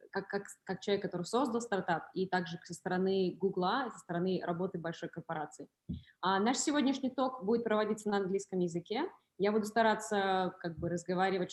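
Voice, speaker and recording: A woman's voice: mid-range voice, darkish tone. Woman moderating an online talk, echoey room. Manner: upbeat, switches between English and Russian. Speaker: host and moderator. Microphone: video call.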